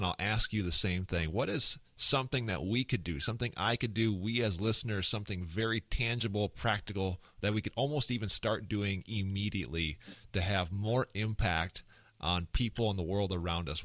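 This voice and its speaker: A man's voice: on the low side, fairly bright tone, no echo. A man with a Minnesota accent hosting an interview podcast, friendly and affirming, with frequent fillers. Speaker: podcast host.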